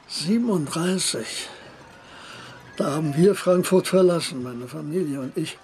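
Courteous older emigrant gentleman, high-pitched voice. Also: measured pace, a little hiss or hum. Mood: courteous, matter-of-fact. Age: 60s. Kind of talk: radio drama acting.

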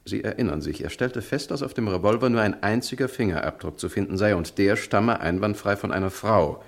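An actor playing a smug male criminal in a 1960 radio crime drama, condescending, amused and deliberate. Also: lowish voice, creaky. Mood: amused, superior. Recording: vintage 1960 radio recording.

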